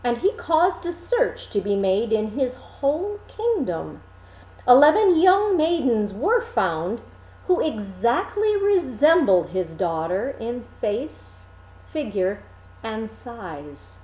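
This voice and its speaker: Slow, very expressive woman storyteller. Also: a little high, roomy sound, rough recording. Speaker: storyteller, reader. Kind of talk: dramatic fairy-tale read-aloud.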